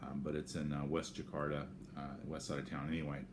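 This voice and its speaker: An American man talking casually and unscripted to camera in a rambling, halting way, often correcting himself as he gives a personal update. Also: rumbling low, little pitch movement. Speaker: vlogger giving a personal health update. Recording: clear background noise, room ambience.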